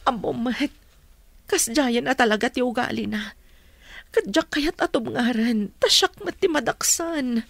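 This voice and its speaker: An actress playing a long-suffering, pleading wife and older sister in a radio drama. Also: female voice, fairly high pitch, a little slow, creaky, no room sound. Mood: aggrieved.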